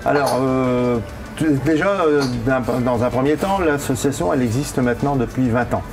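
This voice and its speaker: A man's voice: medium pitch, moderate pace. A man in his fifties explaining things casually in an interview as a business owner, often hesitating and searching for words. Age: 50s. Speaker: hotel and bar owner. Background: music.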